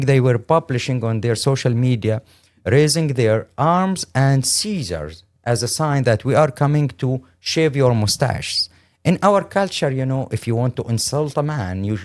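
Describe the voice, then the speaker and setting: Syrian-accented man, lively swings in pitch. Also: unhurried. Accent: Syrian Arabic. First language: Arabic. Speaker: interview guest, lawyer and humanitarian. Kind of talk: earnest first-hand account in an interview.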